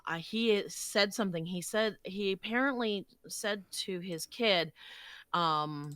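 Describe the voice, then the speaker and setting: A woman's voice: mid-range voice, slow pace, a bit bright, dry recording. A woman talking casually as an interview guest, earnest and a little hesitant. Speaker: podcast guest and voice actor.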